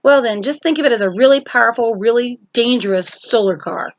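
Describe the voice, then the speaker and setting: A woman's voice: fairly high pitch, fairly quick, close to an everyday voice. Woman voicing a breezy, cocky teenage sun god. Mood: cheerful, explaining.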